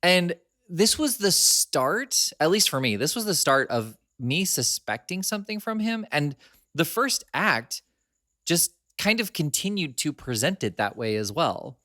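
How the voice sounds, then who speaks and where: A man's voice: a little high, unhurried, no room sound, studio quality. A man co-hosting a podcast in casual chat, laughing often as he talks. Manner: laughs often, chatty and animated. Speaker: podcast co-host.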